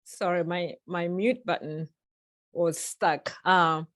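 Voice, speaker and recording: A woman's voice: lowish voice, fairly even intonation, slow pace, fairly bright tone. A woman from Tanzania answering questions on a webinar panel over a video call, earnest, with many ums and restarts. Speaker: church policy panelist.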